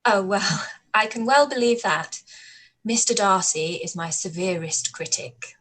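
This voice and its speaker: A woman playing a witty, spirited young Regency gentlewoman in a radio play, arch and playful. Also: medium pitch, a little slow, low-quality recording. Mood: wry. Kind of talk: radio play live read.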